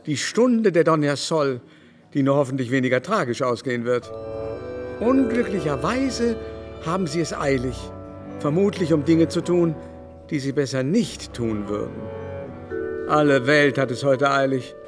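An actor playing a vain, effusive baron and aesthete in a radio drama, talking in an affected, exclamatory way. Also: male voice, a little high, expressive, a little slow. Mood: effusive, wistful. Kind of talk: literary radio drama acting. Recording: studio recording with music behind.

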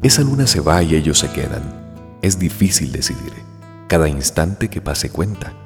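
An actor playing a brooding, enigmatic narrator of a radio drama, measured and solemn. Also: male voice, a bass voice, big pitch swings, gravelly, heavily acted. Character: brooding, enigmatic male narrator pondering fate. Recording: long reverb, soft guitar behind.

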